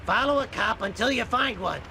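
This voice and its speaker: Very gravelly jaded clown. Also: male voice, extremely high pitch, animated, fairly quick, pushed and bright, a little hiss or hum, heavily acted. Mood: jaded, grumbling. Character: jaded, seedy, worn-out male TV clown.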